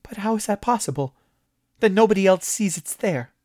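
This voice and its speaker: A man voicing an excitable, jovial businessman who gushes in a high voice. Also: moderate pace. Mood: alarmed. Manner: high, gushing and breathless.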